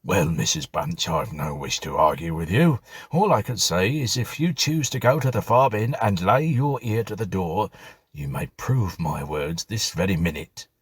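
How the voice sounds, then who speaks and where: A reader plays a flustered, ageing male butler in a ghost storybook. His low voice is very raspy and dry, and he rambles superstitiously in plain servant speech. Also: animated, moderate pace, dry recording, exaggerated voice. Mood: insistent.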